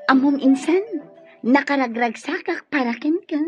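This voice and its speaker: A woman with an Ilocano accent and husky voice acting a caring, glad cousin in a radio drama. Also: high voice, moderate pace, music in the background, close to an everyday voice. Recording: dry, dull studio audio.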